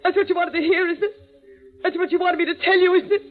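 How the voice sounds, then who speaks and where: An actress playing a scheming, murderous stepmother in a 1940s radio thriller, with a melodramatic, halting delivery. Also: very high-pitched, steady tone. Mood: desperate pleading. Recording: dull vintage 1940s radio broadcast.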